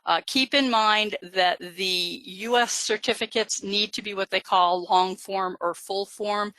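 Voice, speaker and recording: A woman's voice: fairly low pitch, pushed and bright, no echo, low-quality recording. A woman genealogist giving a live webinar lecture, explaining step by step with frequent fillers. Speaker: genealogist presenter.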